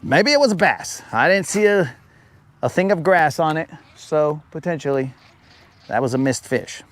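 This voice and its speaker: A man's voice: on the high side, expressive, moderate pace. Chatty man hosting a fishing stream. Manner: casual, chatty, says "bro" a lot, reads viewer comments aloud. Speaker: fishing livestream host. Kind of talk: live stream chat while fishing. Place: outdoors on a lake, fishing.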